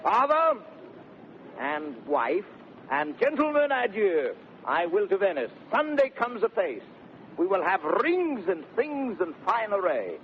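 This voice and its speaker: An actor playing a boisterous, boastful bridegroom in a theatrical, declamatory 1930s radio Shakespeare style. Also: male voice, sing-song, slow, exaggerated voice. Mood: exuberant. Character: boisterous, swaggering bridegroom wooer, comic and boastful. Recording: muffled, narrow-band 1930s radio with rumbling noise.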